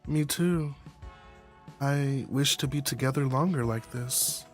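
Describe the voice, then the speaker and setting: A performer reading a visual novel voices a calm, devoted young romantic partner in a gentle, measured, reassuring way. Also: mid-range voice, faint music behind, close to an everyday voice. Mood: tender.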